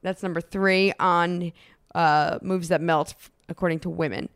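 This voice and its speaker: A woman's voice: fairly low pitch, steady tone, measured pace, clear, resonant tone, no room sound, clean studio sound. A woman co-hosting a casual podcast, reading lines from a book aloud and teasing her co-host with playful asides.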